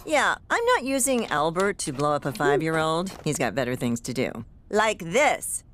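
A woman's voice: deep voice, very animated, moderate pace, noisy background. A woman playing a sardonic, unflappable older witch in a cartoon, dry and offhand, with music behind her. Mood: dry, unimpressed. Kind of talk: animated cartoon acting.

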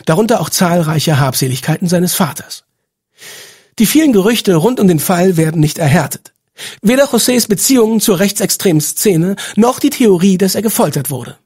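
Guy narrating a podcast, pretty high husky voice, some music behind him. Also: lively intonation, normal speed, darkish tone. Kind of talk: scripted true-crime podcast narration.

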